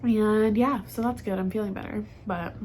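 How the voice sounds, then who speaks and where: A woman's voice: medium pitch, steady tone, moderate pace, darkish tone, slight background noise. A woman with a cold and a stuffy voice, co-hosting a podcast in casual chat, laughing often and saying "like" a lot. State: congested from a cold. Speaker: podcast co-host. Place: dim office lobby, on a couch. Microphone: camera and lights set up.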